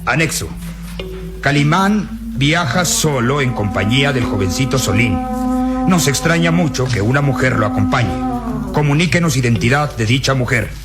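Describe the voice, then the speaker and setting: A man's voice: mid-range voice, fairly bright tone, close to an everyday voice. Commanding military officer played by a man, music behind. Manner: official, commanding, deliberate dictation. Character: brusque, authoritative middle-aged male military commander. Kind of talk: Latin American radio drama acting. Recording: radio drama with clear background music.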